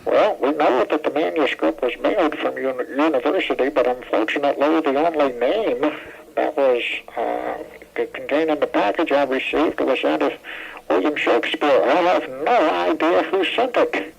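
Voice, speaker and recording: A very creaky, fairly high male voice gives us a genial, puzzled academic, played by a man in a 1980s radio drama. He talks in a chatty, folksy way and hesitates as he explains himself to a colleague. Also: moderate pace, muffled recording, full character voice. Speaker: actor in a radio play. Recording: old radio drama recording.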